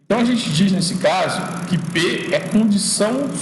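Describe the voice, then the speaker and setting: A man's voice: high-pitched, expressive. A male teacher giving an informal logic lesson, often checking in with "né?" and restarting his sentences as he thinks aloud. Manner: explaining slowly, frequent checks like "né?" and "tá?"; restarts and repeats words while thinking aloud. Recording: echoey classroom from far off with street noise.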